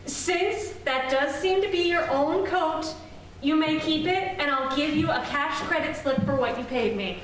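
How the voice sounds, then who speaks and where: A woman's voice: high-pitched, lively intonation, measured pace. A woman playing a flustered, fussy department store floorwalker in a 1940s radio comedy, formal and placating, repeating herself. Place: live student stage performance of a radio play. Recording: echoey, distant room sound with noise.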